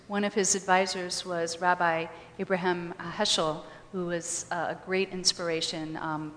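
A woman academic answering off the cuff in a halting, thoughtful way. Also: lowish voice, fairly bright tone. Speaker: guest history professor. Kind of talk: extemporaneous question and answer talk. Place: large hall. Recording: reverberant hall, faint noise.

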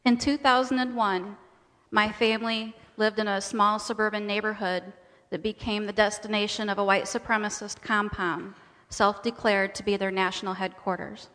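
A woman's voice: mid-range voice, steady tone, moderate pace, fairly bright tone. A woman delivering a rehearsed, dramatic testimony as part of a staged reading. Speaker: speaker in a readers' theater presentation. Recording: echoing hall with long reverb.

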